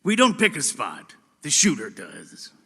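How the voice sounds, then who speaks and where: A man in an audio drama playing a boastful, callous talking bullet with a wisecracking, deliberate delivery. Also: on the high side, huge pitch swings, a bit bright, breathy edge, in a large hall, exaggerated voice. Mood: mocking, callous.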